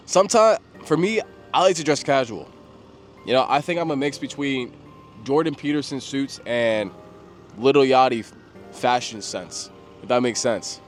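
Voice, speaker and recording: A young man talking casually to camera with self-improvement advice, a bit congested from a cold, relaxed and conversational, restarting phrases now and then. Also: medium pitch.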